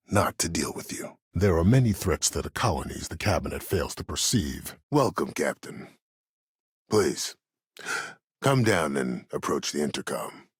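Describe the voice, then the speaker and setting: An actor playing an imprisoned, disgraced admiral in a video game, a calculating schemer who speaks with cold courtesy in measured, formal, deliberate phrases. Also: male voice, rumbling low, vocal fry, slightly rough, dry recording, studio quality, heavily acted. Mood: grave, measured. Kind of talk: video game character dialogue.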